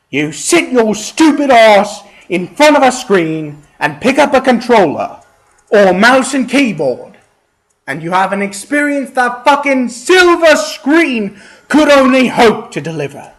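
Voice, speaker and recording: A man rants from a script with theatrical scorn and plenty of profanity. His voice sits high for a man and moves through big swings in pitch. He goes slowly, stretching out words for exasperated emphasis. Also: very animated. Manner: exasperated, emphatic, drawn-out delivery. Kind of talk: profane comedic rant read from a script.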